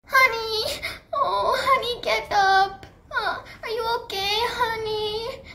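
Lady doing a sweet pink crane girl from a kids story, really high voice. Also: slow pace, vocal fry, exaggerated voice. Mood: panicked. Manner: excited, high-pitched. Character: friendly pink girl crane, cheerful and caring.

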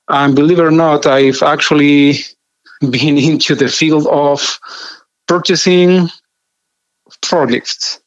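A man in his thirties from Chile, with a Chilean Spanish accent, telling his story conversationally as an interview guest in measured, hesitant phrases. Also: a little high, no room sound, highs cut off. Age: 30s. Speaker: podcast guest, engineering project manager.

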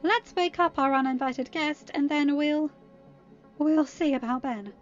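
Woman doing a bossy teen girl leader, tough and threatening, highish voice, bit muffled story recording. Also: a little slow, soft background music. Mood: commanding. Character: tough, commanding teenage girl leader with a temper.

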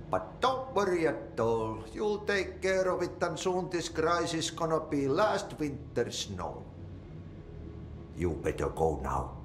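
An older Finnish janitor speaks with a thick Finnish accent and a very lively, swooping melody. He is unhurried, cryptic and folksy, like an odd game character. The room rings around him. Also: mid-range voice, echoey room, a little hiss or hum. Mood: breezy, reassuring. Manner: folksy idioms, muttered Finnish curses. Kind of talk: video game cutscene acting.

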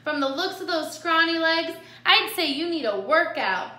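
A woman's voice: very high-pitched, expressive, normal speed, bright, forceful tone, echoey room, pitched above the performer's own voice, full character voice. A woman voicing a brave, cheeky little armadillo, the youngest sister in a storybook tale. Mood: cheeky, bluffing. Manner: cheeky, eager. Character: plucky small youngest-sister armadillo, brave and quick-witted.

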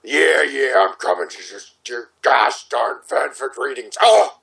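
A jokey man chats casually on a voice call. His mid-range voice swings up and down with lively intonation. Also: mid-range voice, unhurried, vocal fry. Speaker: voice actor, writer and director. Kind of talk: casual chat. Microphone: online voice call.

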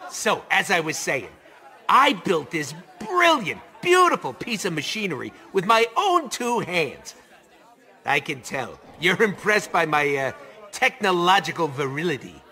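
An actor voicing a cocky, gruff male raccoon mechanic in a video game. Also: high voice, big pitch swings, measured pace, sharp tone, some chatter behind, full character voice. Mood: boastful. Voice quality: gruff, snarky.

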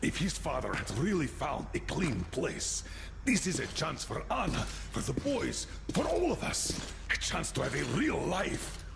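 A man playing a gruff, commanding middle-aged colonel of a special task force in a video game. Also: fairly high pitch, very animated, a little slow, full character voice. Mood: urgent, hopeful. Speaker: military commander. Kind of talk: video game character acting. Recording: echoey game scene with loud noise.

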